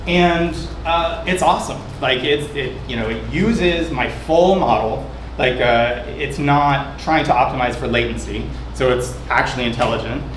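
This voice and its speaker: A man's voice: mid-range voice, normal speed. Chatty man in an echoey room. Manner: loose and chatty, lots of fillers and restarts. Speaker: presenter demoing his app. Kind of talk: casual demo talk. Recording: echoey room with background noise.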